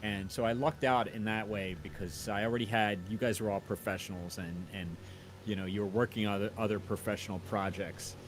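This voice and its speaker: A man in casual conversation, rambling and halting in a modest, self-effacing way. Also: fairly low pitch, steady tone, a little hiss or hum. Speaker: audio drama creator and podcast host; university audio teacher. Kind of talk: casual chat.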